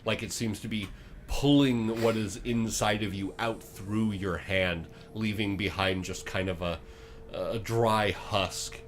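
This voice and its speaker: Low-voiced man narrating as game master. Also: steady tone, slow, a little hiss or hum. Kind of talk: improvised tabletop game narration.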